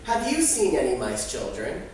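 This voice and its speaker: A man acting out a comic pantomime cook who calls to children in a loud, exaggerated questioning voice. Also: mid-range voice, wildly swooping pitch, moderate pace. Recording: very echoey room, distant mic, noisy.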